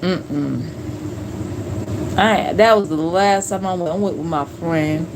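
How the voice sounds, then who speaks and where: A woman in her late forties chatting casually on a live stream, telling stories in a relaxed, slangy way. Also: fairly low pitch, slow, noisy background. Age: 40s. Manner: relaxed storytelling with slang and laughs.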